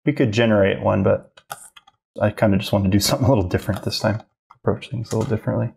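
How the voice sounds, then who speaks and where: A man's voice: fairly low pitch, fairly even intonation, quick pace, gravelly, a little mellow, husky. A man in his thirties thinking aloud as he demonstrates digital drawing, casual and rambling. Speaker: art instructor. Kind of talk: live drawing demo narration. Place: home studio office.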